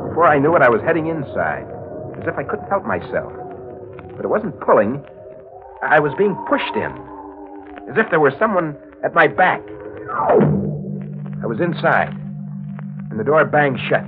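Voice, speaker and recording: An actor playing a down-on-his-luck young man in a 1940s radio drama, with a slangy, hard-boiled, brooding delivery. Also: lowish voice. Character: down-on-his-luck, wry young male heir telling his tale. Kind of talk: 1940s radio drama acting, first-person narration. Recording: muffled vintage 1940s radio recording. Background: music.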